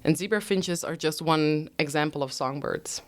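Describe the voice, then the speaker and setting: A woman's voice: low-pitched, normal speed. A Dutch-native woman in her thirties, a researcher answering interview questions in English, thoughtful and full of ums. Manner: thoughtful, many ums, careful explaining. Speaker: guest researcher.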